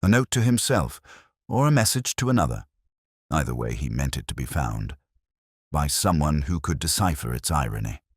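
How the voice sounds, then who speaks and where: A man voicing a shrewd, self-assured gentleman detective in an audiobook, with precise, formal pronouncements. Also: rumbling low, very animated, normal speed, slightly rough, no echo, clean studio sound, full character voice. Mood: assured, deductive.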